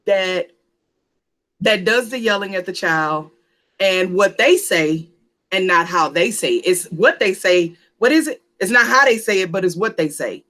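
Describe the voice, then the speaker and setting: Lively woman hosting a church panel. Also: mid-range voice, measured pace. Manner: lively, preacherly, repeats phrases.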